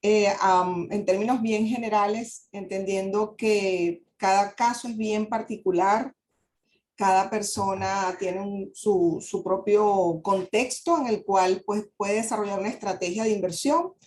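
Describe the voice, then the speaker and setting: A woman presenting as an advisor in a webinar, polite and explanatory, pausing on fillers. Her voice sits at a middle pitch, with faint noise behind it. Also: darkish tone. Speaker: guest speaker, real estate advisor.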